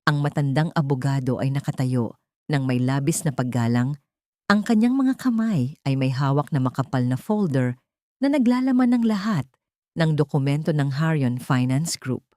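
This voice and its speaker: Female narrator, for audio drama: low, mellow voice, solemn and measured storytelling. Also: lively intonation, no echo, studio quality.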